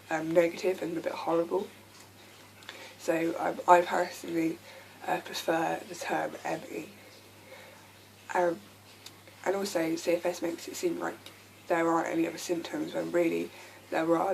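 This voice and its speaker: A young woman with a croaky voice from a lasting sore throat, talking candidly to camera with many ums. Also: on the low side, a little mellow, slight background noise, rough recording. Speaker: vlogger. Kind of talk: casual talk to camera.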